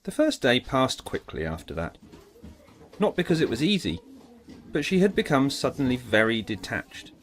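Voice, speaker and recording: A man's voice: medium pitch, very animated, moderate pace. A man giving a scripted, dramatic narration of an audiobook story.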